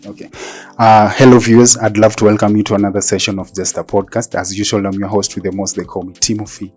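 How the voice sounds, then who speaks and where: A male podcast host with a fairly low voice interviews in a conversational style. He speaks a little haltingly and rewords his phrases as he goes. Faint music sits behind his voice. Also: little pitch movement, a little mellow.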